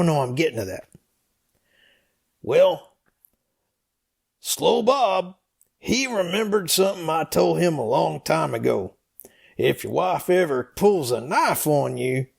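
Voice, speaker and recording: Guy putting on a slow rambly dim folksy storyteller for a comedy skit, super creaky, keeps stalling. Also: high voice, voice pushed up, full character voice. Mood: earnest, stalling. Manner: hesitant, halting, meandering. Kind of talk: comedy skit read as dialogue.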